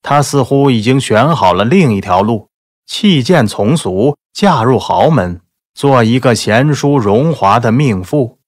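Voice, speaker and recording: A man narrating a novel as a scripted audiobook, in a measured, literary storytelling manner. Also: medium pitch, lively intonation, no echo, clean studio sound. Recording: soft background music under the voice.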